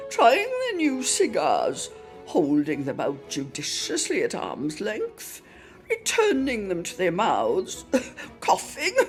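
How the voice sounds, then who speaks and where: A woman reading a literary Christmas reminiscence aloud in a theatrical, expressive style, lingering on words for emphasis. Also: fairly high pitch. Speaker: reader in a radio players' production.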